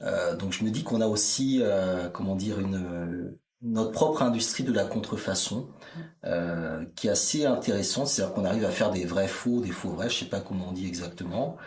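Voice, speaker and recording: Low voice, man from Benin, reflective and measured, echoey room. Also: a little mellow. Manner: measured and reflective, with many fillers. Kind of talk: casual discussion. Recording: roomy echo.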